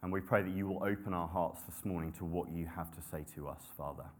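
Young male preacher, lowish voice; relaxed, humble sermon delivery with filler words and restarts. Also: fast. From grew up in Nepal and the UK. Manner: conversational, self-deprecating, with frequent ums and restarts.

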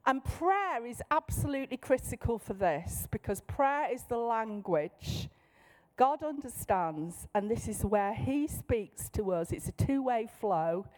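Higher-voiced Mancunian woman teaching a workshop. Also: expressive, unhurried, a little mellow, low-quality recording. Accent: Manchester. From Manchester, England. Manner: light-hearted, down-to-earth, checks in with listeners. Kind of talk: informal teaching talk to a group.

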